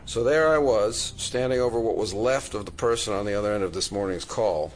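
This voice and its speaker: A man playing a dry, sardonic FBI agent in an audio drama, deadpan and full of probing questions. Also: on the low side, a little fast, a little hiss or hum, close to an everyday voice. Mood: deadpan investigating.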